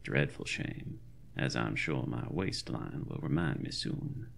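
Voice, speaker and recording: A man's voice: rumbling low, measured pace, gravelly, fairly bright tone, slight background noise, full character voice. A man playing a pompous, wry country doctor of 1919, dictating a diary in florid, old-fashioned language for a horror audio drama. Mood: self-satisfied, wry.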